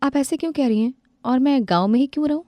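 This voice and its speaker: A woman's voice: high-pitched, quick pace, warm tone, no room sound, voice pushed up, natural voice. A woman doing a stubborn young daughter-in-law. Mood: defiant. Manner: defensive, insistent.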